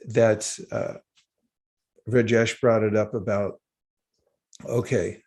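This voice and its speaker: Gravelly-voiced man teaching spiritual wisdom. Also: medium pitch, a little mellow. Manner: unhurried, halting, with small chuckles. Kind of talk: livestreamed spiritual talk with slides.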